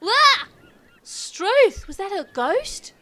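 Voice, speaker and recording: A woman playing a brash, dim, indignant Australian show presenter in an audio comedy, loud and matey. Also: extremely high pitch, very animated, extremely slow, full character voice.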